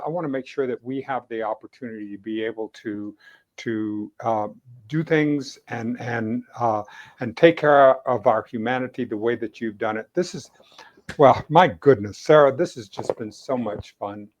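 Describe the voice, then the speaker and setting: A man hosting a casual conversational interview, effusive and encouraging, often repeating and rephrasing his words as he goes. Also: mid-range voice. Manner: halting, repeats and rephrases phrases. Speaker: podcast host interviewing a guest.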